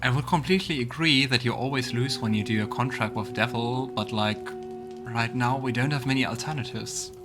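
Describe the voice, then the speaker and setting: A man's voice: medium pitch, a little fast, music in the background. A man hosting a video game let's play with casual, hesitant, musing commentary. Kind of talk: casual gaming commentary and voiced dialogue reading.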